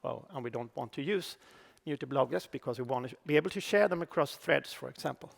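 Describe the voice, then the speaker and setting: A middle-aged man with a Swedish accent giving a technical conference talk on stage, speaking haltingly with many fillers and restarts. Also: medium pitch, gravelly. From Sweden. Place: large conference hall on stage. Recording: reverberant conference hall.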